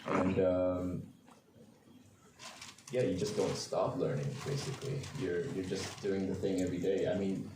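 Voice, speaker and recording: A man, a voice coach, speaking on a convention panel in an animated way, often restarting sentences. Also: sits low, measured pace. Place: large convention hall. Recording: echoey hall, distant mic, faint crowd noise.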